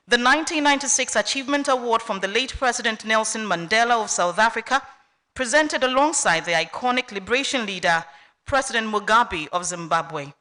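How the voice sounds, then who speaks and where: A woman reading an honorary degree citation at a university ceremony in a measured, deliberate way. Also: fairly high pitch, bright, forceful tone. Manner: measured, deliberate list-by-list delivery. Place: university lecture hall.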